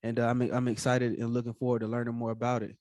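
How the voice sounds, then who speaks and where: A man's voice: medium pitch, monotone, fast, a little mellow, no echo. A man hosting a podcast interview, talking casually with many fillers and encouraging remarks. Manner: casual, filler-heavy, affirming. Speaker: podcast host.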